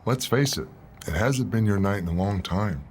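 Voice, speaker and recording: A man playing a businesslike wrestling general manager, measured, official and unyielding. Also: fairly low pitch, animated, slight background noise, lightly acted. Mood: blunt, official. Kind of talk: scripted game cutscene dialogue.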